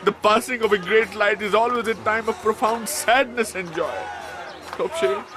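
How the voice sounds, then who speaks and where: An actor playing a pompous, gullible, timid middle-aged companion in a radio drama, speaking floridly and melodramatically, with music and outdoor sound behind. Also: male voice, high-pitched, normal speed, natural voice. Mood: mournful, grandiose.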